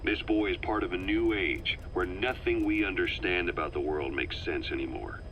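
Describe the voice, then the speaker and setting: An actor playing a wary, brooding veteran intelligence operative, giving briefings in a measured, grave manner for a game. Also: male voice, low-pitched, dull audio, noisy background. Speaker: briefing officer. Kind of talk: dramatic game briefing dialogue.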